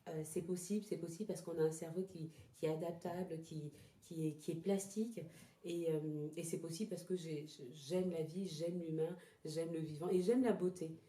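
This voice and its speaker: Very mellow, lowish woman's voice in echoey room. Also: fairly even intonation. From born in Togo, lived in Ghana, moved to France as a child. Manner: hesitant, halting, repeats words as she searches for phrasing. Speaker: interview guest, traditional therapy practitioner. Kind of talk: reflective personal interview answers. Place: indoors in a house. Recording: roomy, echoing indoor sound.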